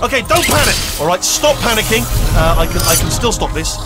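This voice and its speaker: An actor playing a nervous, bumbling little robot guide in a video game, rambling, hesitant and forever correcting himself. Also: male voice, sits high, a little fast, loud music behind the voice, natural voice. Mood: panicky. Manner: babbling, hesitant, self-correcting, excitable. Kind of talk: comic video game dialogue.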